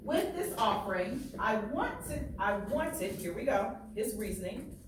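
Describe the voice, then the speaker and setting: A woman's voice: mid-range voice, very animated, unhurried. A woman teacher reading a book aloud, stopping to explain passages plainly and check that listeners understand. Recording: very echoey room, distant, faint noise.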